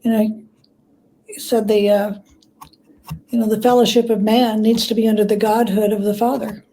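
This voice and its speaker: A woman speaking as a guest author in a conversational interview, explaining things in a halting, thoughtful way. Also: mid-range voice, little pitch movement, dark tone, low-quality recording.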